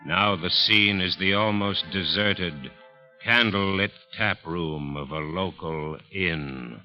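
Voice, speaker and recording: A man narrating a 1940s radio drama in a measured, solemn announcer's style. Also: low-pitched. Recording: dull old radio broadcast with music. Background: music.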